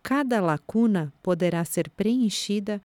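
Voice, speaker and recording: A woman reading listening-exam instructions in a calm, measured, formal way. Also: medium pitch, no echo, clean studio sound.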